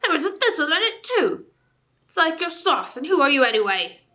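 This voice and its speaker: Storybook dwarf, rude and shouty, a woman doing the voice; keep it bright and on the high side. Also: animated, fairly quick. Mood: indignant. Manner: loud, gruff and quarrelsome. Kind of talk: audiobook character reading.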